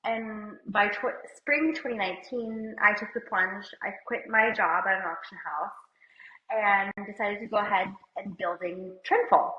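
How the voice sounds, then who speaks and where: A woman's voice: medium pitch, a little slow. Chatty woman with a cold, roomy sound. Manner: chatty, uses "like" often, laughs lightly. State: has a cold. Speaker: podcast co-host and company CEO. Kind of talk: casual chat. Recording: roomy, somewhat dull sound.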